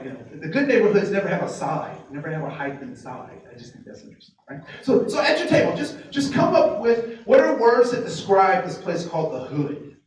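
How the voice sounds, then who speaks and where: A man giving a workshop to a live group, asking the audience many rhetorical questions and slowing down to stress key phrases. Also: a little high. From United States. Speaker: diversity trainer. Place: training room with tables. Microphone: distant mic picking up the room. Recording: very echoey room, speaker far from mic, faint noise.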